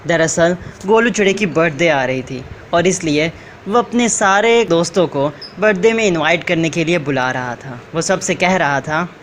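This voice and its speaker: A woman's voice: on the low side, normal speed, slight background noise. A woman narrating a scripted children's story.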